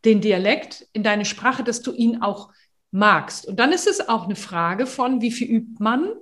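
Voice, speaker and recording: A middle-aged woman, a voice and speech coach, explaining in a lively, chatty way in a casual podcast conversation. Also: on the high side, a little slow.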